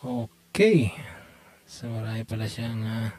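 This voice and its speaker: A Filipino man giving an unscripted hands-on repair walkthrough as a technician, talking haltingly and half to himself as he works. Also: lowish voice, darkish tone. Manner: halting, muttering to himself while working.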